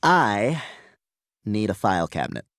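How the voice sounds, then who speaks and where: A man voicing a sleazy, crude, excitable bachelor in an animated comedy. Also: fairly low pitch, measured pace, no room sound, studio quality, exaggerated voice. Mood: exasperated.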